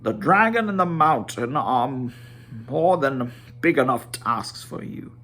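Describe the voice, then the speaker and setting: A man voicing a grave old wizard in a storybook reading, stern, proud, slow and grim in manner. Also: medium pitch, expressive, sharp tone.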